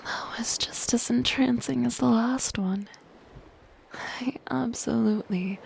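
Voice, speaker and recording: A woman voicing a soft-spoken, possessive and lonely siren in an ASMR roleplay, in a breathy, gentle, intimate whisper. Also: mid-range voice. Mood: fascinated, shy. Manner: slow, gentle, intimate delivery. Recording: close whisper under ocean surf noise. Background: ocean waves and surf.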